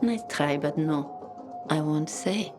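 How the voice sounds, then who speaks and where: A woman's voice: low voice, slow pace, over music. A woman playing a haughty, guarded secretary in a video game, speaking formally and aloofly. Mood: aloof, dismissive. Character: haughty, guarded female secretary to a powerful boss.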